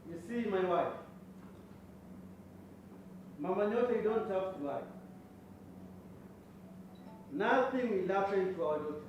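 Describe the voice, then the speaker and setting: A Kenyan man playing a calm, dignified father in a stage play, speaking in measured, formal phrases from far off. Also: sits high, dull audio, lightly acted. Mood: reassuring. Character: reassuring middle-aged father, calm, dignified head of household. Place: stage, distant microphone. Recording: echoey stage recording from far off, faint noise.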